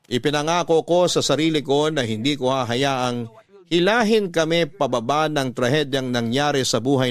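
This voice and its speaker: An actor narrating a letter in the first person as a sorrowful, devoted husband, solemn and heartfelt. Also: male voice, fairly high pitch, close to an everyday voice.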